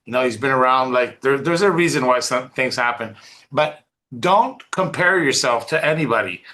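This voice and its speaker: A man's voice: medium pitch, lively intonation, moderate pace, sharp tone. A man hosting an online team call, talking off the cuff and insistently, repeating points and checking that listeners follow. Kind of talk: unscripted motivational talk.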